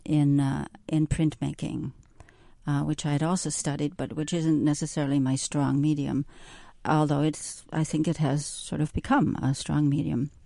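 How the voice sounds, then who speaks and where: A woman's voice: low-pitched, level tone, moderate pace, vocal fry, warm tone, dry recording. A woman, a visual artist, answering interview questions thoughtfully, hesitating and searching for words. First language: German.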